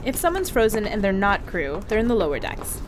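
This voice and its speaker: A woman's voice: medium pitch, sing-song, a little fast, noisy background, natural voice. A woman playing a chatty, teasing female crewmate who laughs easily. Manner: bubbly, teasing, laughs often. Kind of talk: audio drama acting.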